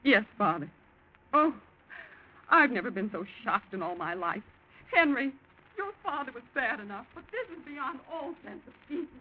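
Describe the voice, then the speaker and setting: A tinny, phone-like vintage radio recording carries an emotional, high-strung woman. Her voice is extremely high and swoops with melodramatic 1940s intensity. She sounds easily shaken yet defiant. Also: very animated, normal speed. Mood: shocked, dramatic. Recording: tinny, band-limited old radio recording.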